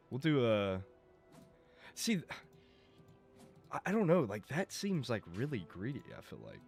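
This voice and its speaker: A man streaming a game live, talking casually and rambling, his voice going a bit rough as he loses it. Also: medium pitch, fairly bright tone. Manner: rambling, chatty, swears and laughs. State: losing his voice.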